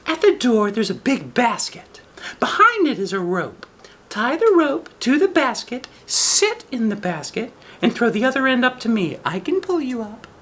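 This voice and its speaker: A dad doing a clever little girl, high falsetto, sing-song, sweetly coaxing storybook voice, some background noise. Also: sing-song, normal speed, fairly bright tone, heavily acted. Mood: enticing. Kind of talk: storybook read aloud.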